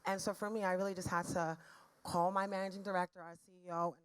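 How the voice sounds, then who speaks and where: A woman from the Caribbean with a raspy, somewhat low voice. She speaks as a panelist, earnest and frank, telling her own story to a room. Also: level tone, fast talker.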